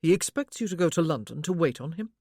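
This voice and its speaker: A woman voicing a protective blind gentleman who questions in a steady, dry manner. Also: sits low, fast talker, slightly rough, dry recording, heavily acted. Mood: probing.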